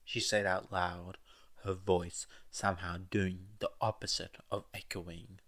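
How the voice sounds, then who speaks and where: Male audiobook narrator, deep register, unhurried delivery of scripted fiction. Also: flat delivery, fairly bright tone, husky.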